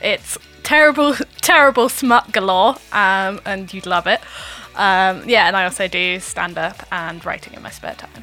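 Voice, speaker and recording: A woman's voice: mid-range voice, unhurried, pushed and bright, soft background music, poor audio. A woman chatting casually and jokily as a comedy guest. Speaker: guest comedian and podcast host. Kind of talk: casual jokey chat.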